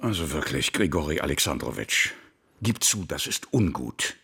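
A man's voice: extremely low pitch, sing-song, moderate pace, creaky, sharp tone, a little hiss or hum, exaggerated voice. An actor playing an older army captain, a stern yet good-natured superior with a fatherly manner, in a radio drama. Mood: stern, reproachful.

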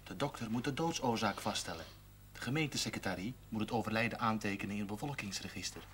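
Actor as a grave, low-voiced clergyman. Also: quick pace, a bit bright, lightly acted. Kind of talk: 1970s television drama acting. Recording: faint noise on old TV audio.

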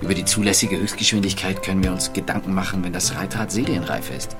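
A male actor plays an eager, practical engineer in a historical radio drama. He talks briskly and precisely in a fairly low voice, with clear music behind him. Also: fairly even intonation, lightly acted. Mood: matter-of-fact. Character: eager, practical-minded engineer, inventor's loyal colleague. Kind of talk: historical radio docudrama acting.

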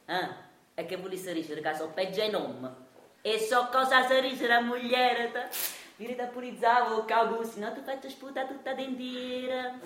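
Actress as a high-voiced, fierce Neapolitan washerwoman matriarch. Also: steady tone, normal speed, fairly bright tone, roomy sound, a little hiss or hum, natural voice. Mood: mocking, scolding. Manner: brash, scolding, mocking, breaking into laughter. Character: fierce domineering Neapolitan washerwoman matriarch who beats her husband. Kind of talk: theatrical radio drama monologue in dialect.

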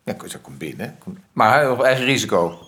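A man's voice: mid-range voice, big pitch swings, normal speed, a bit bright, a little hiss or hum. An actor in a radio play playing a flustered, grieving man who often stammers and hesitates. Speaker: Onno, widower-to-be. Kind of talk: radio drama acting.

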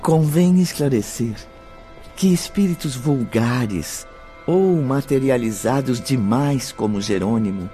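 A man narrating a radio drama in a solemn, formal, dramatic scripted style. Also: medium pitch.

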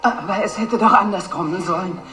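A woman's voice: mid-range voice, a little fast, fairly bright tone, close to an everyday voice. Echoey, wistful woman played by an actress over music. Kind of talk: stylized radio drama.

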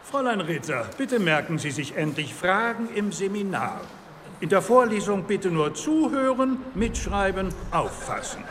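Male professor, radio play: high voice, stern measured lecturing, echoing hall, faint noise. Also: sing-song, a bit bright. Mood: pedantic, stern.

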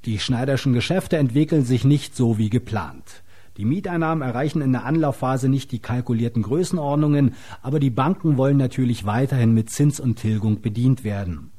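A man's voice: mid-range voice, a little fast, dark tone, husky. A man reading scripted documentary narration.

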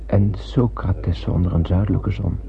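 A man's voice: low voice, fairly even intonation, unhurried, gravelly. Intense spaceman narrator on lo-fi radio. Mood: musing, wry. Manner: musing, theatrical, intense. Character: young spaceman narrator Ishmael, wondering and nervous. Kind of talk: dramatic first-person radio play narration. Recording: narrow lo-fi old radio recording, faint noise.

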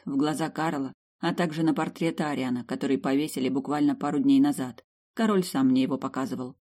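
An audiobook narrator reading first-person fantasy fiction in an expressive storytelling style. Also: mid-range voice.

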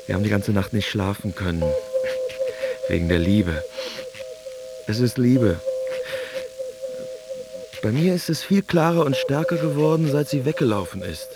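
A man's voice: medium pitch, low-quality recording. An actor playing an infatuated man musing about his love life in a conversational, earnest radio drama monologue. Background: music.

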